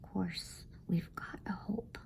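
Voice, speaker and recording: Woman whispering as a motherly, unhurried beaver housewife. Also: darkish tone, close to an everyday voice. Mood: reassuring. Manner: coolly practical, homely. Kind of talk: whispered bedtime storybook reading.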